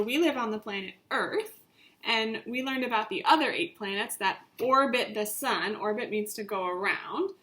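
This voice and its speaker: A woman's voice: a little high, a bit bright. A woman teacher reading a story aloud to young children, with simple, instructive phrasing and repetition.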